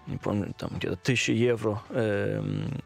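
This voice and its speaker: Gravelly man with a Danish accent. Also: fairly low pitch, soft background music. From Denmark. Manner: hesitant, many pauses and fillers. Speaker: guest. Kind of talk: casual interview conversation.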